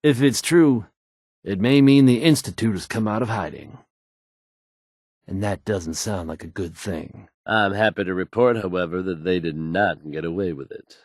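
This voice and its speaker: An actor playing a confident radio DJ who reads post-apocalyptic news bulletins in an assured, measured, folksy announcer manner. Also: male voice, sits low, darkish tone, no room sound, clean studio sound. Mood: grave, cautioning. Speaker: radio DJ and newsreader.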